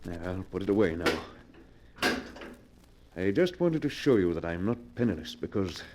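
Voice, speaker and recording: An actor playing a rich recluse in love, courtly and insistent, in golden age radio drama style. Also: male voice, low-pitched, sing-song, moderate pace. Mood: proud. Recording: noisy old radio recording with clicks.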